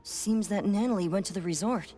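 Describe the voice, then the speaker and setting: A woman's voice: mid-range voice, fairly quick, warm tone, lightly acted. A woman playing a helpful, curious young companion in a video game. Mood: curious, pensive. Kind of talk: scripted video game voice acting. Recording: music behind the voice.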